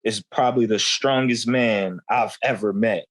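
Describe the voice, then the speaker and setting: A man's voice: on the low side, steady tone, moderate pace. Talkative young man, casual and candid. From United States. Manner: casual, slangy, repeats "you know what I'm saying". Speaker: guest. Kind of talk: candid conversational storytelling.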